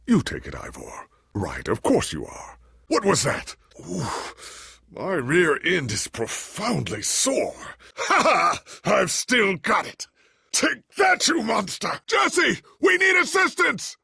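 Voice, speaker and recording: Legendary game warrior, earnest, formal, forgetful, high-pitched for a man, husky. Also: pushed and bright, dry recording, full character voice. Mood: genial, bemused, then panicked shouting. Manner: theatrical, formal, earnest phrasing. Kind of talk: dramatic video game voice acting.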